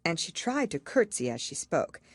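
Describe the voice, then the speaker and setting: A woman narrating a children's storybook as an audiobook reader, in an expressive style. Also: lowish voice, normal speed, breathy edge, no echo.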